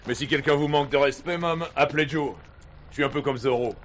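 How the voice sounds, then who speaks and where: An actor playing a boastful, gallant, jokey weekend cowboy in a French radio drama. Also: male voice, on the high side, brisk, a little hiss or hum, close to an everyday voice. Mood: swaggering gallantry. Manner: swaggering, jokey. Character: boastful, gallant play-acting cowboy named Joe.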